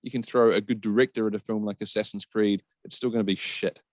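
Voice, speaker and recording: Kiwi bloke on a podcast, blunt casual takes, slightly deep voice, no room echo. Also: male voice, steady tone, fast. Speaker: podcast panelist. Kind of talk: casual opinionated chat.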